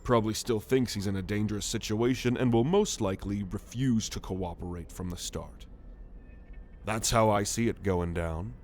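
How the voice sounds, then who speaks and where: A cynical alien spaceship crewman, voiced by a man in an ASMR roleplay drama. His voice sits on the low side, and he grumbles with sardonic, world-weary irritation. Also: moderate pace, darkish tone, a little hiss or hum, natural voice. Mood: irritated, sardonic.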